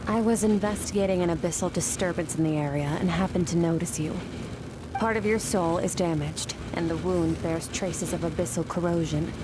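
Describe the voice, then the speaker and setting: A woman voicing an aloof, mysterious master swordswoman in a video game, speaking in a cool, terse, deadpan manner. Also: on the low side, a little fast, background noise, close to an everyday voice. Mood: detached, matter-of-fact.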